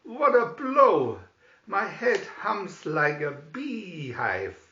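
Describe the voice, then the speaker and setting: A merry, bold outlaw leader from a storybook, acted out by a man, hearty and declamatory. The pitch rides high and swings widely, in a dull, roomy recording. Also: big pitch swings, drawn-out words, sharp tone, exaggerated voice. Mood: amused.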